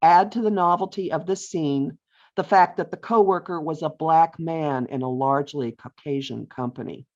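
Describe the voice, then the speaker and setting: A middle-aged or older woman reading her own humorous essay aloud with deliberate phrasing and wry emphasis, as an author on a video call. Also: low voice, a little mellow. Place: virtual author talk over video call. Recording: dull, lo-fi online call audio.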